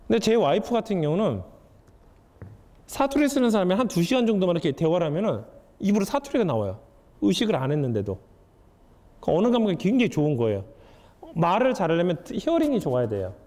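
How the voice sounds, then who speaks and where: A man's voice: sits high, very animated, quick pace, pauses often, warm tone. A young adult man giving a lecture, asking the audience questions in a candid, conversational way. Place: lecture hall. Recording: hall reverb.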